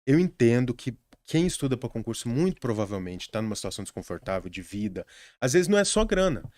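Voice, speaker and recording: A man's voice: mid-range voice, very animated, quick pace, a little mellow, no room sound. A young adult man with a Brazilian accent, a podcast guest earnestly sharing study advice in casual conversation, with frequent restarts. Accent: Brazilian Portuguese.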